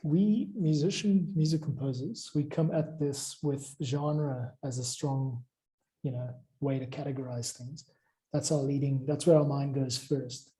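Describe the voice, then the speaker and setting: A man chats informally in a very dark, relaxed voice at a middling pitch. He is discursive and explanatory, working through his points conversationally. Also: a little slow, poor audio. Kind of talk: informal chat about craft.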